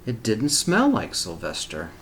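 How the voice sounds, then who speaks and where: A man reading a picture book aloud to young children as a teacher, pausing for simple explanatory asides. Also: fairly low pitch, huge pitch swings, slight background noise.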